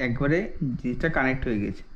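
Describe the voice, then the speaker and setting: Male product reviewer, mid-pitched, casual and explanatory, walking through steps; dull recording with faint background noise. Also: fast. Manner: explanatory, step by step, repeats "okay" as a check. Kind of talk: casual product demonstration vlog.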